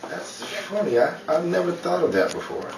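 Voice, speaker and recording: A man with a higher, animated voice reads announcer copy for an old-time radio show and keeps stumbling. He is recorded at a distance from the mic in a small room, with clear noise behind him. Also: reverberant. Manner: halting, stumbling over the script. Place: small indoor room dressed as radio studio.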